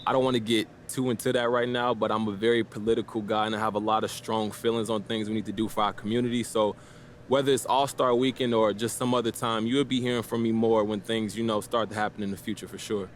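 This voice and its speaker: A man's voice: on the low side, little pitch movement, fast, slight background noise, lightly acted. A man playing a young, easygoing but guarded basketball rookie in a video game, answering the press in a casual, hesitant, diplomatic way. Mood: thoughtful, easygoing.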